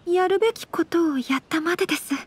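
A woman's voice: extremely high pitch, fairly quick. A woman playing a poised young noblewoman and swordswoman in an anime game, formal, composed and very polite. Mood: gentle, courteous. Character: poised, courteous young noblewoman swordswoman, gentle but firm. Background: background music.

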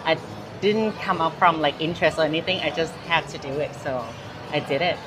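A woman's voice: low voice, brisk, noisy background. A woman in her thirties with a Thai accent, chatting casually in an interview with lots of fillers and restarts, recorded on a lapel mic in a large indoor mall. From Thailand. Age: 30s. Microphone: clip-on lapel mic.

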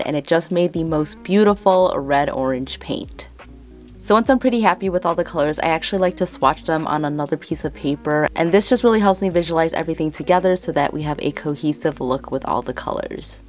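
A woman in her twenties with a Chicago accent, chatting casually as she explains a DIY craft tutorial step by step. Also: low voice. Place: indoors, room with houseplants.

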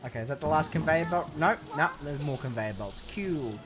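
A young man commentating on a video game in a sarcastic, sweary way, with sudden excited outbursts. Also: on the high side, brisk, over music. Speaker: gaming commentator. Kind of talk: let's play commentary.

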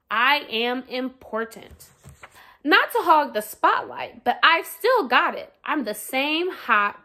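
Woman reading as a boastful, high-pitched storybook Sun. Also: sharp tone, heavily acted. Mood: showy, excited. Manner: bragging, showy. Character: boastful, playful, self-important talking Sun from a picture book. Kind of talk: children's storybook read-aloud.

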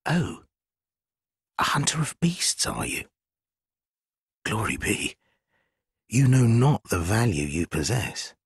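A man playing a sly, mocking, fawning giant spider with a human head in a dark fantasy video game, speaking in archaic, theatrical, mock-obsequious phrases. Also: on the low side, big pitch swings, slow, pauses often, breathy edge, no echo, clean studio sound, exaggerated voice. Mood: sly, conspiratorial, then mocking, taunting.

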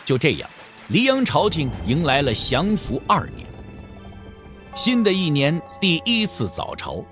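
A man narrating an audio drama with expressive, literary, scripted storytelling. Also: fairly high pitch, a little slow, faint music behind.

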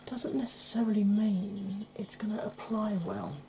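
A middle-aged woman with a Welsh accent giving an ASMR makeup tutorial at home, talking softly and often in a whisper, chatty with a dry sarcastic streak. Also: medium pitch, steady tone, a little slow, breathy edge. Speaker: beauty YouTuber. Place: indoors at home, face in close-up.

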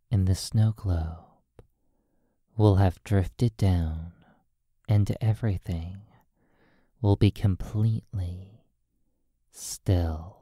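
An ASMR hypnosis roleplay by a sleep therapist speaking in a hushed, soothing manner, in drawn-out phrases with long pauses. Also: deep voice, no room sound, clean studio sound.

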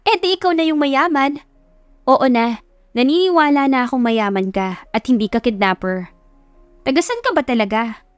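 A woman's voice: high voice, lively intonation, normal speed, long pauses, clean tone, dry recording, faint music behind. A woman voicing a feisty, suspicious young woman who works at an eatery, brash and teasing, in an audio love story.

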